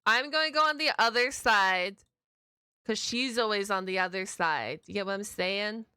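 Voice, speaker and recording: A woman's voice: medium pitch, normal speed, pushed and bright, smooth, dry recording, clean studio sound. A woman hosting a reaction video, chatting casually in a playful, slangy, exclamatory way. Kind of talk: excited reaction commentary, casual chat.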